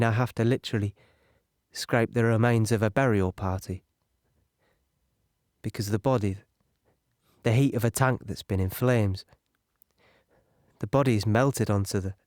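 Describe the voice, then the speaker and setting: Sombre, halting soldier played by a man, dry recording. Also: medium pitch, dry recording, natural voice. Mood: haunted, sombre. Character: weary young British infantryman recalling Normandy battles. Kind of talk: dramatic monologue in an audio play.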